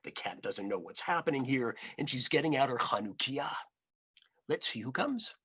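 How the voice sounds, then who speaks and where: A man reading a children's storybook aloud as an expressive narrator. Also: medium pitch, normal speed, husky.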